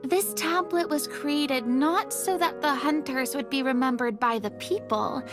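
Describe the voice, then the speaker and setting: An actress playing a gentle young woman who tells old tales in a fantasy video game. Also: sits high, a little slow, music in the background. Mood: admiring, thoughtful.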